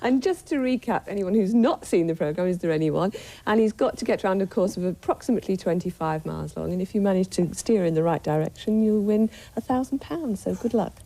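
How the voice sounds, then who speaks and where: A woman in her thirties co-presenting a studio game show, cheerful and quick to giggle. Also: mid-range voice, expressive, vocal fry, dark tone. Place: TV studio set. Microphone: lapel mic.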